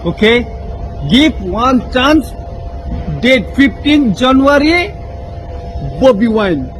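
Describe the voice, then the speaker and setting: A man from Nepal with a Nepali accent, making an earnest video appeal in halting, repeated short phrases. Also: extremely high pitch, very animated, background noise. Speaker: person in a played video clip.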